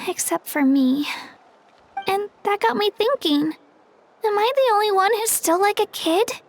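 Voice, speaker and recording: Very high, earnest young girl. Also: expressive, measured pace, exaggerated voice. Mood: downcast. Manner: earnest, gentle, hesitant. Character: young girl, earnest, unsure of herself, polite. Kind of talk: video game voice acting.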